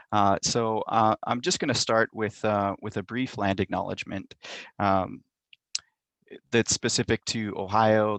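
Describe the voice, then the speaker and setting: A middle-aged man speaks in a fairly low voice, recorded dry and close on a computer mic. He presents an academic talk in a measured, thoughtful way, pausing often with ums and uhs. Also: gravelly, a bit bright, no room sound. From Montana, United States. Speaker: university lecturer. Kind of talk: academic presentation over video call. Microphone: computer mic on a video call.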